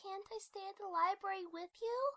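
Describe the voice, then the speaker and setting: Shy little cartoon filly, super high bright kid voice, anxious, close dry recording. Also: female voice, brisk, no echo. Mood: anxious, pleading. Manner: childlike, anxious questioning. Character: timid, clingy little unicorn filly schoolgirl. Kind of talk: acted audiobook dialogue.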